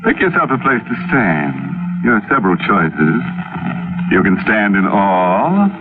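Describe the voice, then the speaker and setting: An actor playing a ghoulish horror host on 1940s radio, sardonic, macabre and smoothly inviting. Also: male voice, on the low side, very animated, a little slow, noisy background. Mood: gleefully macabre. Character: ghoulish, mock-welcoming male horror host. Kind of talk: 1940s radio announcing and hosting. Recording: tinny old radio broadcast with music.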